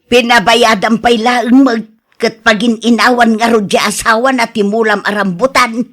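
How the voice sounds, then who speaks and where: An actress playing a soft-hearted older wife in a radio drama, gently reproving, with an Ilocano accent. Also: female voice, fairly high pitch, steady tone, normal speed, close to an everyday voice. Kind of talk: Filipino radio drama acting.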